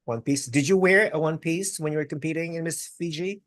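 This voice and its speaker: A man hosting an interview over a video call, quick to praise and laugh. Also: a little high, no room sound. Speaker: interview host. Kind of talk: casual interview chat.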